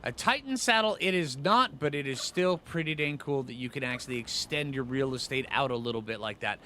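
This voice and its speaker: A man's voice: mid-range voice, fairly quick, bright, forceful tone, a little hiss or hum. A man giving casual gameplay commentary, thinking aloud in informal, slangy phrases with rambling asides. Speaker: gaming channel host.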